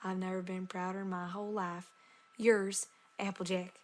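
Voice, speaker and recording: A woman's voice: lowish voice, level tone, normal speed, natural voice. A woman voicing an earnest country farm pony, plainly reading a letter aloud. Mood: proud. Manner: folksy, plainspoken. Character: earnest, down-to-earth young country farm mare, cartoon pony.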